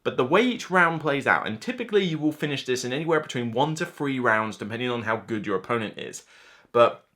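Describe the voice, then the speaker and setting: A man in his thirties giving a casual, unscripted board game review to camera, chatty and animated, acting out imagined reactions. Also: medium pitch, brisk. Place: indoor room lined with shelves of board games.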